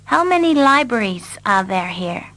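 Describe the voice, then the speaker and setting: A woman repeating short English dialogue lines slowly and carefully for learners. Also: fairly high pitch, sing-song, bright, forceful tone. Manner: carefully repeats each line. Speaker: English teacher. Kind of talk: slow scripted reading for learners.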